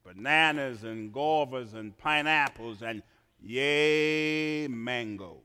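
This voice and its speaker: A man from Trinidad preaching a sermon in a church, swinging from quiet asides to shouted emphasis. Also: medium pitch, slow, clean tone. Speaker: pastor. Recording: reverberant church hall.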